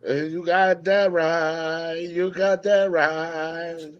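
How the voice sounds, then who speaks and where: Wired, energetic man in his fifties. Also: fairly high pitch, very slow, clear, resonant tone. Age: early 50s. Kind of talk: casual chat. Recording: dull lo-fi sound.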